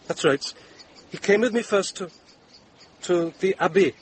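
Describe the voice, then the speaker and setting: High-pitched, wary working man. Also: a little slow, slight background noise. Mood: guarded. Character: guarded, plain-spoken male craftsman's hand. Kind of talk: British radio drama acting.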